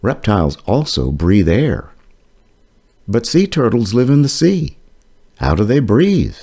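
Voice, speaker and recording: A man reading an educational children's book aloud as its author, phrasing things deliberately and explaining them for children. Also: medium pitch, big pitch swings, dark tone, husky.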